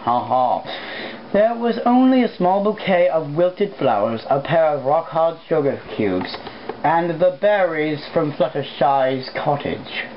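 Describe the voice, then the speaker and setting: A woman's voice: low voice, expressive, unhurried, lo-fi sound, slight background noise. A woman casually reading a story aloud as an amateur, informal and hesitating mid-sentence. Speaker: amateur fan fiction reader.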